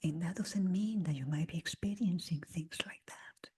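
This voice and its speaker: A woman leading a guided meditation in a breathy, husky near-whisper, speaking gently in short phrases with long pauses. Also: fairly low pitch. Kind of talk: slow guided meditation talk.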